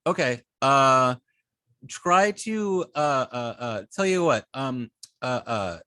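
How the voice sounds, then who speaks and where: A man teaching a class informally, speaking in a halting way and often repeating words as he thinks. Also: mid-range voice, animated, pushed and bright, clear, resonant tone, dry recording, clean studio sound. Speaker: web design class instructor. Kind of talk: informal online teaching and student check-ins.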